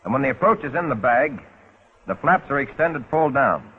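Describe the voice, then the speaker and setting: A male American narrator delivers scripted 1940s military-style instruction through a thin, phone-like old film soundtrack. His voice is medium in pitch and rough around the edges. He explains step by step with a folksy, wry streak. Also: animated, normal speed.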